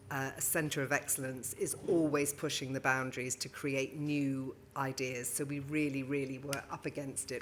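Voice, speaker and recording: Woman, middle-aged, low pitch, self-assured panel speaker, faint hum behind. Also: bright, forceful tone. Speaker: brand adviser, panel speaker. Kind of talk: conference panel talk. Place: conference stage. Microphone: handheld microphone.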